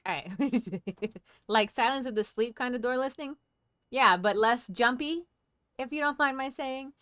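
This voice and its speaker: A woman's voice: a little high, unhurried, a bit bright, dry recording. A woman chatting playfully and thinking aloud as she comments on a game for a livestream. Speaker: game streamer.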